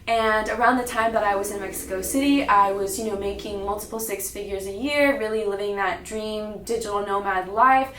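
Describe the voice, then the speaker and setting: A woman's voice: medium pitch, fairly quick, few pauses, fairly bright tone, a little hiss or hum. Young American woman speaking in an echoey room. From Sacramento, California, United States. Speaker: entrepreneur giving a personal story talk. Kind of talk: personal storytelling public speech. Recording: roomy, echoing, distant mic.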